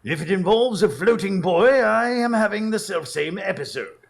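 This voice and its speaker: Guy acting a literary victorian doctor narrating a radio drama, creaky voice, earnest and dramatic, lots of inflection. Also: sits high, animated. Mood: dry, earnest. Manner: measured, dramatic storytelling.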